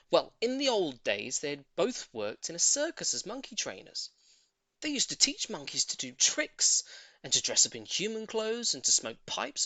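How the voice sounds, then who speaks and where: A man's voice: fairly high pitch, bright, forceful tone, dry recording. A man narrating a children's story as a scripted audiobook, in a theatrical, emphatic way.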